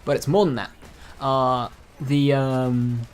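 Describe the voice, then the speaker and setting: A man's voice: mid-range voice, flat delivery, slow. Casual man giving gaming commentary. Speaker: gaming video creator.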